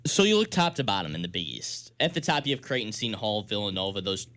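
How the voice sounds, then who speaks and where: Animated American man hosting sports talk. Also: fairly low pitch, quick pace. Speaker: sports radio talk show host.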